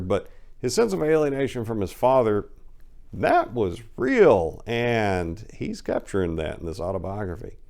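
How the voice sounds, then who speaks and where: A man giving an expressive dramatic reading in the manner of a personal memoir, shifting between emphatic and hushed delivery as a host. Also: mid-range voice.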